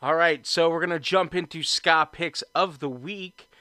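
Bright-voiced upbeat male podcast host. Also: a little high, moderate pace, smooth, no echo, studio quality. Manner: relaxed, upbeat, short affirmations. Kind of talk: casual interview chat.

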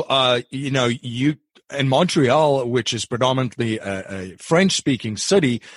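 A podcast host from Africa, a man with a mid-pitched voice recorded dry and close. He builds long, winding questions and often repeats a word before moving on. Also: breathy edge, dry recording. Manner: repeats words while framing long questions. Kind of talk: interview podcast.

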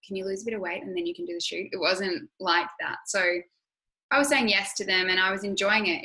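Bright-voiced young Australian woman, candid. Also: on the low side, rough recording. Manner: candid, reflective, many fillers like "you know". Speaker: guest. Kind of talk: conversational interview.

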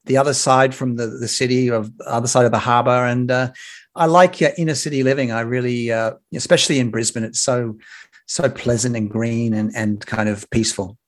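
A man in his fifties with an Australian accent, a broadcaster telling stories as a podcast guest in a relaxed way, with many fillers and restarts. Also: mid-range voice, fairly quick. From Sydney, Australia. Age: 50s. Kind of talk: casual interview chat. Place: home near a rail line.

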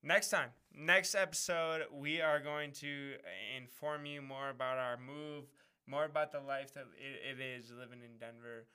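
A man's voice: medium pitch, steady tone, unhurried, pushed and bright, clear, resonant tone. A young man from Wisconsin co-hosting a podcast, joking and swearing in casual chat while steering the topic. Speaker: podcast co-host.

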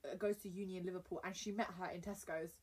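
Young woman, from England, playful, teasing, chatty, breathy voice, distant mic. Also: fairly low pitch, fairly even intonation, quick pace. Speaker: podcast co-host. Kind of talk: casual chat. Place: home.

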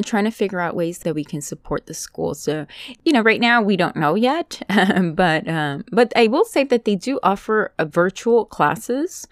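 A woman's voice: fairly low pitch, no echo, studio quality. A woman hosting a podcast in casual chat, dropping Spanish phrases into her English. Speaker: podcast host.

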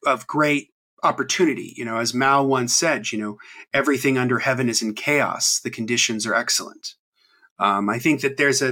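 Bright-voiced man rambling in casual chat. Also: medium pitch. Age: 30s. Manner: rambling, many ums and restarts. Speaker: podcast host.

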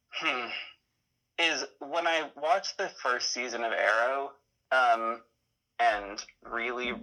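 A man chatting casually as an interview guest, halting often as he thinks aloud. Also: medium pitch, bright, forceful tone, rough recording. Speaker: interview guest, audio drama creator.

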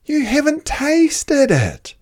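Storyteller as an urgent, high-pitched bush animal. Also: wildly swooping pitch, slow, darkish tone, exaggerated voice. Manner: urgent, exclaiming. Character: alarmed, urgent animal friends of a wombat.

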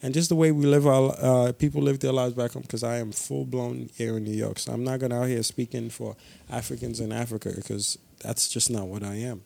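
A young man from Ghana co-hosting a podcast, talking loud and animated with lots of slang in casual banter. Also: mid-range voice, brisk, vocal fry, few pauses. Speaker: podcast co-host.

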